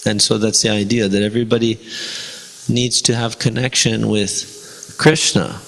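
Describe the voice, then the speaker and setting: Male teacher, Spanish first language, gravelly voice, casual class talk. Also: lowish voice, level tone, unhurried, fairly bright tone, a little hiss or hum, poor audio. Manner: conversational, many fillers and rhetorical "right?". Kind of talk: informal devotional class talk.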